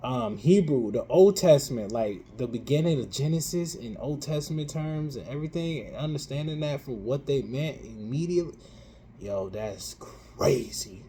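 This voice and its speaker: A man co-hosting a casual chat, animated and playful, dropping slang as he hypes up his co-host. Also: mid-range voice, normal speed, a little hiss or hum. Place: living in Europe.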